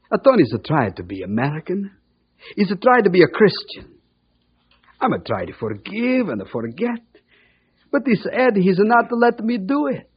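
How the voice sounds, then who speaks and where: Casting: heartfelt Italian-accented shopkeeper, broken English, very animated and rough-edged, vintage dull radio sound. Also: male voice, high voice, full character voice. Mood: hurt, pleading. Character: warm-hearted Italian immigrant shopkeeper man, earnest and forgiving. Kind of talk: old-time radio drama acting. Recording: muffled old radio recording.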